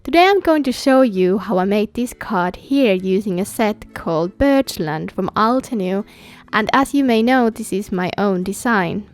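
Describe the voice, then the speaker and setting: A woman's voice: medium pitch, animated, normal speed. A woman from Finland, a native Finnish speaker with a Finnish accent, narrating a scripted craft tutorial as its host. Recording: background music under voice. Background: music.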